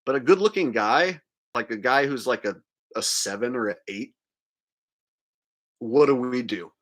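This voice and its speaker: A man's voice: mid-range voice, measured pace, a bit bright. A man hosting a livestream, delivering a casual, crude, mocking rant in a monologue. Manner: mocking, crude, acting out mimicry. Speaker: livestream host.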